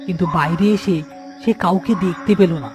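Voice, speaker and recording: A man's voice: high-pitched, fairly even intonation, a little fast, music in the background. A man narrating a scripted horror cartoon as a storyteller.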